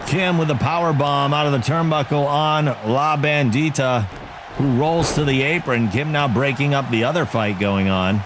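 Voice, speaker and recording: A man giving excitable wrestling play-by-play commentary for a video game league, joking about himself between calls. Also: medium pitch, moderate pace. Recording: game crowd and noise behind the voice. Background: video game arena crowd.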